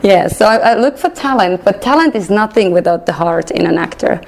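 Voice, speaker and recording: A woman theater director speaking in a halting, earnest way and laughing often in a casual radio interview. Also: medium pitch, lively intonation, gravelly, darkish tone.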